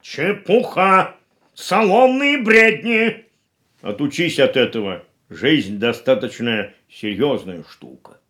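An elderly, thrifty uncle in a radio play. He scolds and dismisses in an exasperated, emphatic way. His pitch leaps about in an animated manner. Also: slow pace. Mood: scornful. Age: older.

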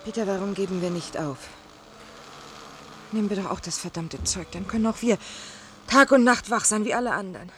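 An actress in a German radio play, playing a sleep-starved, despairing wife with a plaintive delivery. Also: female voice, mid-range voice, brisk, a little hiss or hum, close to an everyday voice. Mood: weary, frustrated.

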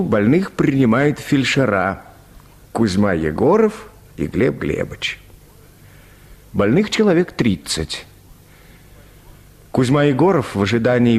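A man's voice: medium pitch, darkish tone. A man narrating a literary short story for a Russian radio play.

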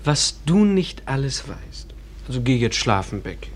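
An actor playing a matter-of-fact teenage older brother in a radio play. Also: male voice, medium pitch, very animated, measured pace, a little hiss or hum. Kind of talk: German radio play acting.

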